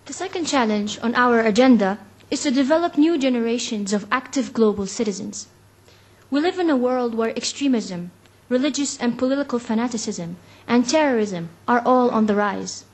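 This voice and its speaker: Young woman giving a serious speech, kinda high voice, lots of numbers. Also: expressive, moderate pace. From Egypt. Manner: serious, reads out figures. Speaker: youth delegate. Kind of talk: prepared speech at a forum.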